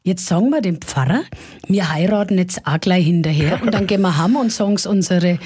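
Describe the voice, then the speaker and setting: A woman's voice: fairly low pitch, lively intonation, brisk, darkish tone, breathy edge, no echo. A middle-aged woman from Franconia, a singer-songwriter guest, chatting in an anecdotal way with many fillers in a radio interview. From Franconia, Germany. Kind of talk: casual storytelling in a radio interview.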